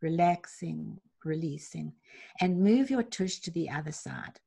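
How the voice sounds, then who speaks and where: A woman leading parents through a slow, gentle guided meditation, asking soft reflective questions with long pauses. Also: fairly low pitch, very animated, creaky, husky. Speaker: parenting coach guiding a reflection exercise.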